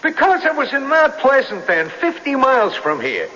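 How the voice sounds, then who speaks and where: An actor playing a jittery, defensive young hipster crook who protests in excitable beatnik slang, in 1970s radio drama style. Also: male voice, very high-pitched, normal speed, exaggerated voice. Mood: agitated protest. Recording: dull, noisy old radio recording, reverberant hall.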